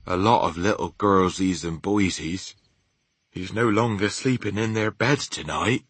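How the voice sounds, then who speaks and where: A man voicing a huge, kindly, simple storybook giant who speaks earnestly in a slow drawl with homely, muddled grammar. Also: low voice, a bit bright, breathy edge, no room sound, exaggerated voice. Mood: announcing. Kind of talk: storybook character voice.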